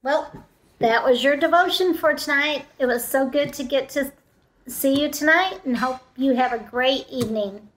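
A woman's voice: sits high, moderate pace, fairly bright tone, poor audio. A woman in her fifties casually greeting and addressing children as a presenter. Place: indoors in a room at home, seated at a table. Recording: faint background noise with clicks.

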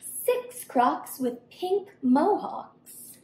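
A young woman reading a rhyming children's story aloud expressively and deliberately. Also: sits high, very animated, darkish tone, slight background noise. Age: young adult. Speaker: storybook reader. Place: home kitchen-dining room, indoors. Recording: roomy indoor echo.

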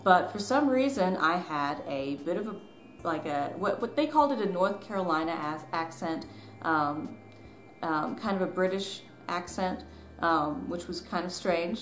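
A woman in her thirties talks to camera in a casual, chatty way. Her voice sits a little low, and she tells personal stories with a self-deprecating touch. The room is echoey, with some faint noise behind her. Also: expressive, moderate pace, a bit bright. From southern US, Tennessee and Georgia. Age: 30s. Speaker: author and vlogger. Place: small living room at home, indoors.

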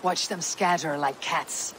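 A woman voicing a fierce, vengeful Viking warrior leader in a video game, with a gravelly voice and solemn, declamatory delivery. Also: fairly even intonation, slow pace, a bit bright, slight background noise, low-quality recording. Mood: grim resolve. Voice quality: gravelly, low-toned delivery. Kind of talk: dramatic video game acting.

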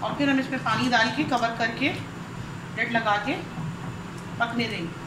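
A woman with a Pakistani Urdu accent and a high voice calmly walks through a recipe step by step. She is recorded on a camera mic over the stove in a roomy, echoing kitchen. Clear sizzling and frying sound behind her. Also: normal speed. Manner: calm step-by-step explaining. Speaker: cooking channel host. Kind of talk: cooking demonstration voice-over. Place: home kitchen. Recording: echoey kitchen with sizzling noise.

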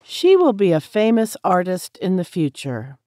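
A woman with an American accent reading English practice sentences clearly and carefully, repeating each one for learners to copy. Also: on the low side, huge pitch swings, unhurried, dark tone, dry recording, clean studio sound.

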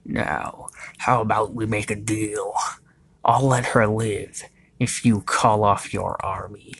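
A narrator putting on a husky, brutal giant cyclops. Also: mid-range voice, fairly even intonation, takes it slowly, fairly bright tone, exaggerated voice. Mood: menacing. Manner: menacing, commanding. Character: brutal giant male cyclops monster, gloating bully.

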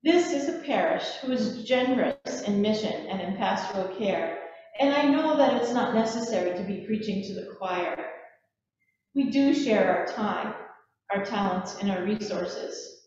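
A woman's voice: medium pitch, animated, measured pace, darkish tone. Echoey, distant older woman preaching. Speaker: Episcopal preacher. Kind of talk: sermon. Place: large church, at a pulpit. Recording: echoey church from far off, muffled, noisy.

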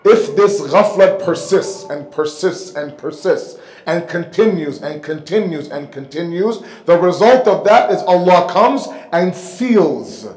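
A man delivers a religious lecture in a rather high voice. He speaks emphatically, repeating phrases for weight and posing rhetorical questions. The sound is noticeably echoey, picked up on a microphone in a hall. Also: lively intonation, unhurried, darkish tone. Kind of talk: sermon lecture. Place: indoor mosque hall, seated at the pulpit. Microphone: microphone on a stand close in front.

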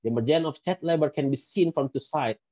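A man's voice: fairly high pitch, quick pace, warm tone, no echo. A man in his thirties from Indonesia, speaking English with an Indonesian accent, giving a recorded conference presentation with some careful restarts. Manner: careful non-native English, occasional restarts. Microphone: headset. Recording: dull lo-fi dry sound.

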